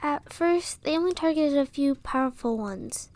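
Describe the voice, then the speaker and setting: A woman playing an earnest, sorrowful young villager and rebel in a fantasy audio roleplay, speaking with dramatic pauses. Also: high-pitched, lively intonation, a little mellow. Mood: sorrowful, grave. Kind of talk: audio roleplay acting, storytelling dialogue.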